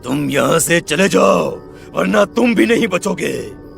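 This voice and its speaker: A man's voice: fairly high pitch, lively intonation, slow pace, vocal fry, fairly bright tone, faint music behind, exaggerated voice. A man voicing the vengeful ghost of a murdered village man, aggrieved and threatening, in a cartoon horror story.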